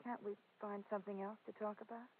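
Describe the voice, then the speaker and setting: A woman's voice: mid-range voice, level tone, quick pace, a little hiss or hum, natural voice. Coy femme fatale widow played by a woman. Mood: pleading, coy. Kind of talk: 1940s radio acting. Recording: tinny, narrow-band old radio recording.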